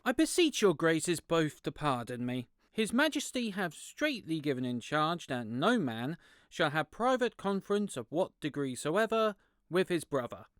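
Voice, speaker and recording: A dutiful guard officer speaks crisply and with lively pitch movement. He is formal, deferential yet firm, in classical audio-theatre style with a dry sound. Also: measured pace, dry recording. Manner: formal, apologetic but firm.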